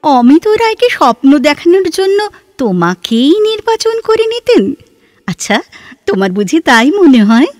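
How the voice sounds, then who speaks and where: A woman playing a reserved, bookish, introspective woman in an audio drama, speaking in a measured, hesitant and expressive way. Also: sits high, no echo, studio quality. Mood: teasing, questioning. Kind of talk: Bengali audio drama dialogue. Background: background music.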